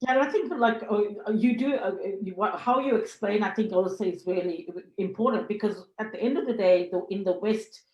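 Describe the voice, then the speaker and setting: A woman from Sri Lanka moderating a panel discussion, speaking earnestly with many pauses and fillers. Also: medium pitch, a little mellow. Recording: roomy echo.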